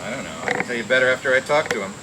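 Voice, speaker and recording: An actor playing a tough, playful private detective with a wry, flippant delivery in an old-time radio drama. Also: male voice, mid-range voice, lively intonation, rapid-fire, lightly acted. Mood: wry, defiant. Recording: noisy old radio recording.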